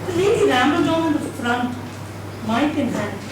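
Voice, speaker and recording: High-voiced woman reading in an echoey hall. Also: expressive, normal speed. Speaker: reader at a dramatised book reading. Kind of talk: dramatised storybook narration. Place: indoor event hall at a live reading. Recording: distant mic, echoey hall, noisy.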